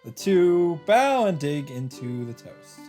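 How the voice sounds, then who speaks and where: A man's voice: on the high side, big pitch swings, takes it slowly, darkish tone. A man hosting a let's play, reading visual novel narration aloud with casual asides. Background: game music.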